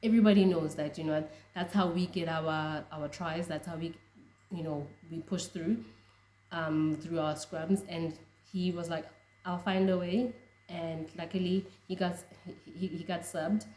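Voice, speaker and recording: A young South African woman whose first language is Tswana, chatting excitedly about rugby with frequent laughs and restarts. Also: on the low side, a little slow, reverberant, a little hiss or hum. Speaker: rugby fan vlogger. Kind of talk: casual reaction chat.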